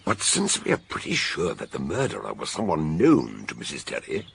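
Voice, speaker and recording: Gravelly, deep old gentleman detective. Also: huge pitch swings, slight background noise, full character voice. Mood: musing. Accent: British. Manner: theatrical, courteous, musing and deliberate. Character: jovial older male doctor-detective, shrewd and avuncular. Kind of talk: detective radio drama acting.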